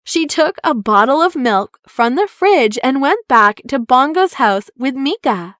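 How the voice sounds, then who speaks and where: A woman narrating a children's story aloud in an expressive, deliberate storytelling manner. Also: high voice, no room sound, studio quality.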